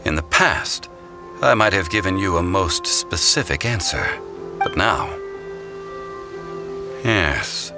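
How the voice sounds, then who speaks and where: An actor playing an ancient god in human guise in a video game, calm and dignified, speaking in a measured, formal, reflective way. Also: male voice, deep voice. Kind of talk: scripted video game dialogue.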